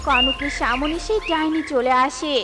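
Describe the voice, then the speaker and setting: Very high-voiced woman storyteller. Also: steady tone, moderate pace, over loud music. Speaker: narrator. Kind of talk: scripted cartoon story narration.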